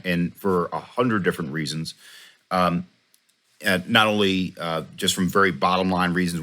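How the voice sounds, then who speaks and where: A middle-aged man interviewing a guest, asking thoughtful, measured questions with frequent hesitant fillers. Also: sits low, pushed and bright. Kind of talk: conversational interview.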